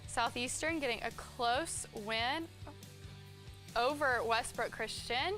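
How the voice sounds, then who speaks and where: A woman reads football scores as a sports co-host. Her voice is clearly high and bright, delivered in a scripted broadcast style over faint music. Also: takes it slowly.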